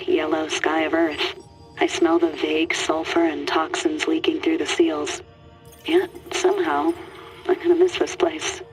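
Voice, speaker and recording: A woman's voice: fairly low pitch, rough recording. An actress playing an idealistic, wistful woman field biologist recording an audio log in a video game. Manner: earnest, wistful, expressive.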